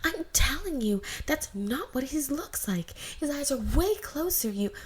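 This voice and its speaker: Excitable young girl, storybook fangirl, voiced by a woman: high, emphatic, argumentative. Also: a little slow, slight background noise. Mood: bickering, indignant. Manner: bickering, emphatic. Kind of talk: amateur fan fiction character reading.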